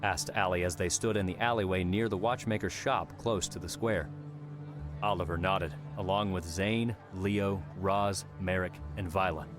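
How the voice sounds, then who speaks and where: A man narrating an audiobook in a measured, steady reading. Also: sits low. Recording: narration over background music. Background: music.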